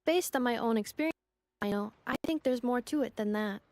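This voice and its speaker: A woman voicing a polite, composed female robot assistant in a video game, speaking in a measured, formal, explanatory way. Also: on the high side, darkish tone, no echo, lightly acted. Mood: earnest. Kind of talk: anime-style video game voice acting. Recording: faint music behind the voice.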